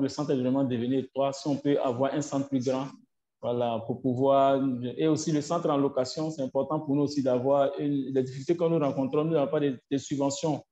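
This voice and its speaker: Halting man on an echoey call. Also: medium pitch, warm tone. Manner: halting, repeats himself while searching for words. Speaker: association leader guest. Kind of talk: webinar interview answer. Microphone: video call. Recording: echoey, muffled online call audio.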